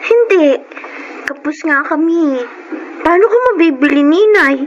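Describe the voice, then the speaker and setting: Sweet young pupil: Filipino, female, very high voice, radio drama acting, slightly muffled sound. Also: unhurried, slight background noise. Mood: eager, fond. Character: sweet, grateful young student.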